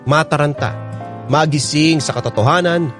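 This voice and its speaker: A man's voice: fairly high pitch, moderate pace, lightly acted. A man with a Filipino accent playing a heartfelt storyteller, confiding to listeners as radio drama narration. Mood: reflective. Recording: guitar music under the voice.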